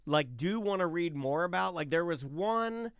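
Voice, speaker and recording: Higher-voiced man telling stories, very dry sound. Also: animated, normal speed, fairly bright tone, clean tone, no echo. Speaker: talk show co-host. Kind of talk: casual radio banter.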